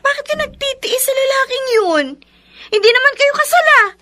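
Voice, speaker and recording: Very shrill, scolding Filipina friend. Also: female voice, fairly quick, bright, forceful tone. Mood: scolding, exasperated. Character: outspoken, exasperated female friend, concerned and scolding. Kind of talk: Filipino radio drama acting.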